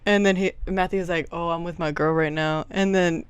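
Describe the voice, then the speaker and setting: A woman's voice: fairly low pitch, fairly even intonation, a little fast, clean tone, no room sound. A young woman co-hosting a podcast in casual chat, rambling and giggling, with lots of "like"s. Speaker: podcast co-host. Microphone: headphones and mic.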